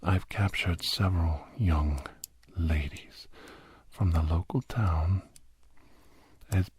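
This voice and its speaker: A man's voice: deep voice, slow, a little mellow, no echo, heavily acted. A man playing a menacing, obsessed villainous doctor in a whispered ASMR roleplay, mock-polite and coldly gloating. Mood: sinister taunting. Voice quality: breathy, husky near-whisper.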